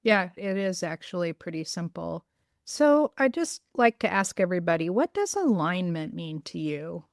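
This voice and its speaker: Low-voiced woman podcast host. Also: big pitch swings, normal speed, dry recording, studio quality. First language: bilingual in Greek. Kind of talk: casual interview chat.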